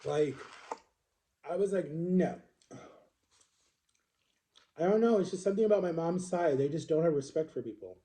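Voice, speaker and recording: A man's voice: a little high, moderate pace, long pauses, dark tone. A man in his thirties telling personal stories to viewers in a casual, chatty vlog style, rambling and correcting himself with emphatic repetition. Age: 30s. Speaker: vlogger.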